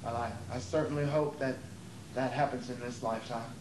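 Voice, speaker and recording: A man's voice: medium pitch, fairly even intonation, brisk, close to an everyday voice. A man playing a wistful, nervous aging would-be actor, stammering and weary, in an amateur stage play. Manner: hesitant, stammering, repeating words. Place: theater during a rehearsal. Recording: distant, echoey, noisy rehearsal tape.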